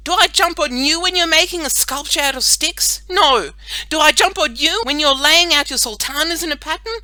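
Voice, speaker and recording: Woman as furious schoolgirl, very high pitch, loud, sharp, emphatic scolding, children's audiobook. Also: moderate pace, dry recording. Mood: outraged. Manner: shouted, emphatic rhetorical questions. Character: indignant young schoolgirl, shrieking and scolding. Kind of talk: children's audiobook character acting.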